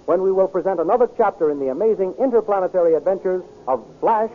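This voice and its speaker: A man's voice: high-pitched, expressive, fast, faint music behind. A man with an American accent announcing and narrating an old radio serial in a dramatic, emphatic manner. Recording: tinny, noisy old radio recording.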